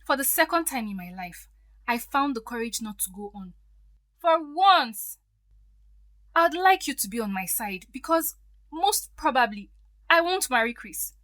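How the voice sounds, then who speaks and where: A woman's voice: high voice, sing-song, a little slow, a bit bright, no room sound, heavily acted. An actress playing a hurt, defiant stepdaughter in her thirties in an audio drama, emotional and pleading.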